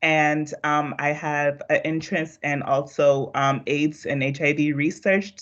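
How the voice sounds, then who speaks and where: Even-toned, higher-pitched young man, dull audio. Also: unhurried. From Nassau, Bahamas. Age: young adult. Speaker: physiotherapy student. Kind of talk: informal self-introduction. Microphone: video call. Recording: dull video call audio.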